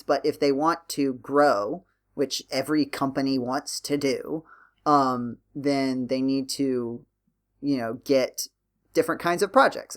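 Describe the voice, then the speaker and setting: Man casually talking through ideas on a podcast. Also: medium pitch. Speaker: podcast host.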